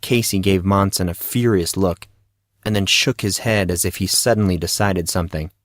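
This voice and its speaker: A man narrates a fiction audio drama in a low register. The sound is dry, with very little room on it, and the delivery is scripted storytelling. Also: normal speed, no room sound.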